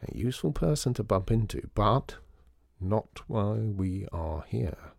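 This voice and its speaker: A man's voice: lowish voice, lively intonation, gravelly, darkish tone, dry recording, studio quality, exaggerated voice. Man doing a wry, scheming shopkeeper. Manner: measured, knowing, slightly theatrical. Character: shrewd former store owner, wry and confident.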